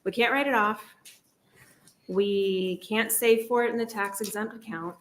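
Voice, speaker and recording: A woman reading prepared testimony at a hearing in an earnest, steady way. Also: medium pitch, a little slow, a little hiss or hum.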